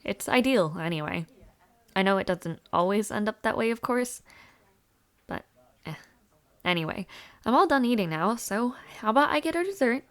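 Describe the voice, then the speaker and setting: A young woman playing a friendly, chatty university student in an audio roleplay, speaking casually with hesitant afterthoughts and a creaky voice. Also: medium pitch, expressive, normal speed, long pauses, natural voice. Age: young adult. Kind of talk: intimate audio roleplay, casual one-sided conversation.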